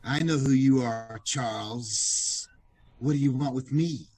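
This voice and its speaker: A man playing a surly, curt gangster with a husky voice in an old-time radio mystery. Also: medium pitch, a little mellow, natural voice. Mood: curt, hostile. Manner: curt, menacing, unhurried. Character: surly, slangy male gangster.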